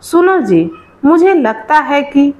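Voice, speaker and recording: An uneasy village wife , voiced by a woman storyteller, speaks with hesitant doubt. Her storybook lines are slow and fairly high in pitch. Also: very animated, darkish tone, full character voice. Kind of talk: storybook dialogue.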